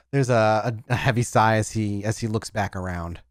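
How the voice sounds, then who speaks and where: A man's voice: fairly low pitch, moderate pace, no echo, clean studio sound. A man narrating a tabletop roleplaying game as its game master.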